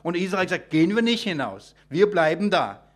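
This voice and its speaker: A man's voice: high-pitched, big pitch swings, moderate pace. A man preaching an extemporaneous sermon, emphatic and full of rhetorical questions and repetition, often restarting his sentences.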